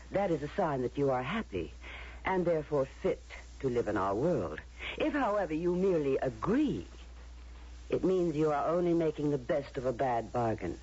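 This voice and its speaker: Polite menacing judge, radio drama, formal, deliberate, breathy-rough tone, animated pitch, muffled broadcast. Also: male voice. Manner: formal, deliberate, falsely courteous. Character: smooth-mannered, menacing judge of a utopian society.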